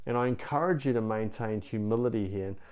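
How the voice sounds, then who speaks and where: Smooth-voiced New Zealand man coaching. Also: lowish voice, fast talker, darkish tone, highs cut off. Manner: earnest and encouraging, frequent "you know" fillers. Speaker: relationship coach. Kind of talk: direct-to-camera coaching talk.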